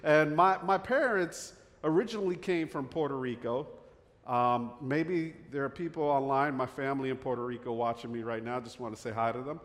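A middle-aged pastor with a North Jersey accent, preaching a conversational sermon full of fillers and restarts. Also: male voice, medium pitch, moderate pace, in a large hall. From northern New Jersey.

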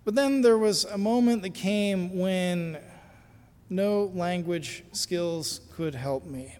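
An American pastor with a notably high voice tells a story from the pulpit in a relaxed, conversational way, often pausing on small fillers. His words ring out with a long reverb in a church sanctuary. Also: clean tone. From United States. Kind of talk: sermon with personal story.

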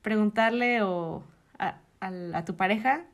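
A woman's voice: mid-range voice, unhurried, gravelly. A woman with a Mexican accent, a podcast co-host explaining in a casual, teacherly way. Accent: Mexican Spanish. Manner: animated, teacherly, laughs often.

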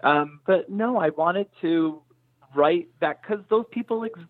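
A man's voice: sits high, measured pace, no echo, narrow, tinny audio. A man being interviewed as a screenwriter, speaking thoughtfully and often rephrasing as he searches for words. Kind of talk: thoughtful interview talk about own writing.

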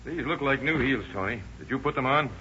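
An actor playing a shrewd, confident police officer with an American accent, methodical and authoritative, in 1930s radio drama style. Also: male voice, medium pitch, lively intonation, fairly quick, close to an everyday voice. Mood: brisk. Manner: methodical, authoritative, plain-spoken. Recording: dull, noisy old radio recording.

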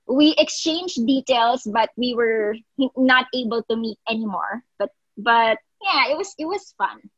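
A woman's voice: on the high side, animated, a little slow, few pauses, fairly bright tone, husky, dry recording, highs cut off. A woman in her twenties with a Filipino accent, chatting casually and switching between languages. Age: 20s. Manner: code-switching, chatty with fillers and laughs. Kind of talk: casual chat.

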